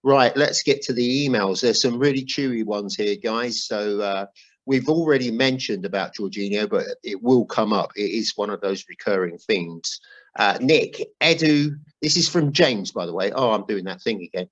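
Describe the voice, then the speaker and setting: A man's voice: fairly low pitch, moderate pace, fairly bright tone, dull audio. A man hosting a football podcast in a chatty way with wry asides, reading out notes and emails. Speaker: podcast host.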